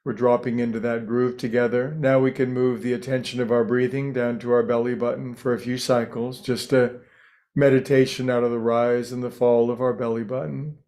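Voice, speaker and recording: A man leading a guided meditation as a teacher, speaking in a gentle, soothing way with frequent pauses. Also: mid-range voice, level tone.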